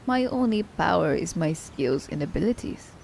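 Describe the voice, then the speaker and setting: A woman playing a cunning, haughty immortal assassin in an ASMR audio drama, speaking in theatrical, formal diction, breathy and often dropping toward a whisper. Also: lowish voice, animated, moderate pace, a little mellow, slight background noise, rough recording. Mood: sly, musing. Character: immortal female assassin, cunning, teasing, haughty, romancing her captive.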